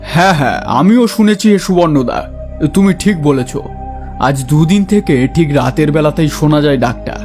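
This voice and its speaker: A man's voice: on the high side, animated, normal speed, music in the background. A man playing a chatty, eager village gossip in a cartoon, speaking colloquially. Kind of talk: cartoon voice acting.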